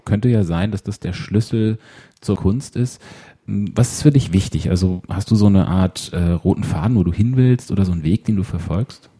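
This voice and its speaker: Man with a very mellow, dark voice. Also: low voice, moderate pace, breathy edge. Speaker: interviewer. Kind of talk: conversational interview questions.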